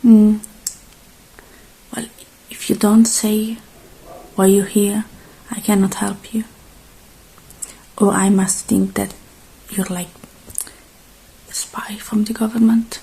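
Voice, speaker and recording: A woman doing a gentle, unhurried ASMR roleplay, speaking softly and slipping into breathy whispers close to the microphone. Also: mid-range voice, little pitch movement, poor audio. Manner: gentle, unhurried, murmuring to the listener. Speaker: ASMR creator playing a robot repair technician.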